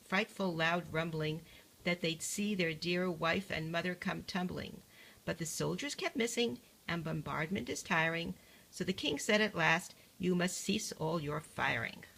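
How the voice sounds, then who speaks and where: An older woman reading a rhyming picture book aloud like a grandmother, measured and singsong. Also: fairly low pitch, creaky, fairly bright tone, husky, poor audio.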